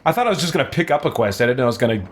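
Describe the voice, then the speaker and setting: A man's voice: mid-range voice, very animated, very fast, a little hiss or hum. A man hosting a gaming video, giving casual let's play commentary.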